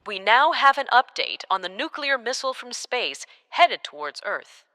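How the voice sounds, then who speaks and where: A woman's voice: on the high side, sing-song, moderate pace, sharp tone, long reverb tail, faint music behind. A woman playing an urgent, earnest news anchor delivering a report in an audio drama. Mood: grave, urgent.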